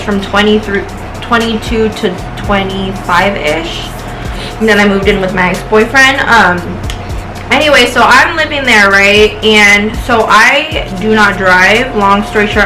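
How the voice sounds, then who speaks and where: A woman's voice: a little high, low-quality recording. A woman of twenty-nine with an American accent, telling a personal story in a casual, rambling, slangy and sweary way. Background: music.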